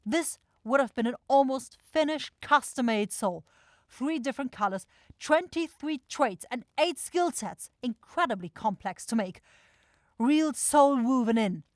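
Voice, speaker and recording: A woman playing an irritable, sharp-tongued demon shopkeeper in a scripted fantasy ASMR roleplay, blunt and exasperated. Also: sits high, lively intonation, measured pace, no room sound, studio quality. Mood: exasperated, bitter. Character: grumpy, sarcastic demon goat-eyed shopkeeper sister, sharp-tongued.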